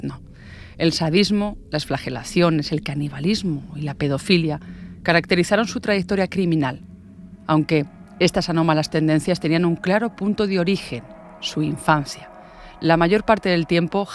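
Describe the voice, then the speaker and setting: A man with a fairly high voice narrates scripted true crime. There is clear noisy ambience behind his storytelling.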